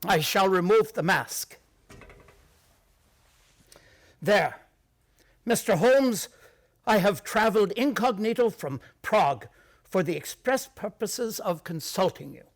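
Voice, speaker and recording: Radio drama incognito European monarch: high male voice, formal, grandiose, secretive. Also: expressive, measured pace, vocal fry, exaggerated voice. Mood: earnest. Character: proud, formal, secretive Bohemian king in disguise. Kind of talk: radio play acting.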